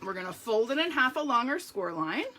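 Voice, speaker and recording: Woman, slightly hoarse, bright voice, chatty craft demonstrator, live how-to. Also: a little high, very animated, a little fast, slight background noise. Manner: chatty, explains each step, talks to viewers. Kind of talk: live card-making demonstration.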